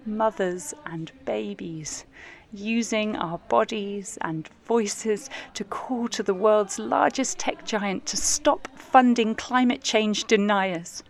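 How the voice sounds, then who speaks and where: An actress playing an earnest, hopeful present-day activist mother, giving a measured, idealistic, poetic monologue in an audio drama. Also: medium pitch, creaky. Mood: earnest, resolute. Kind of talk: reflective first-person monologue in audio drama. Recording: music underneath.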